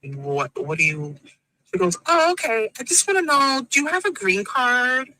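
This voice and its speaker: A woman's voice: fairly high pitch, big pitch swings, moderate pace, pushed and bright, low-quality recording. A woman with a West Indian accent tells a story in an angry, profane rant and acts out each person in it. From West Indies. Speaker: woman in a played social media clip.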